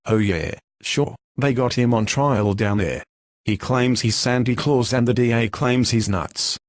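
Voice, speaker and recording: A man's voice: medium pitch, measured pace, no echo, close to an everyday voice. Folksy working-class mailman played by a man, mid-range voice, chummy and eager, dry radio drama recording. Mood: scheming, eager. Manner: folksy, slangy. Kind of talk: radio play acting.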